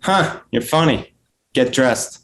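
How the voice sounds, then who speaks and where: A woman doing a cocky young guy, lower than her own voice. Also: animated, slow, a little hiss or hum, full character voice. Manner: cocky, teasing. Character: cocky young male cousin, scheming.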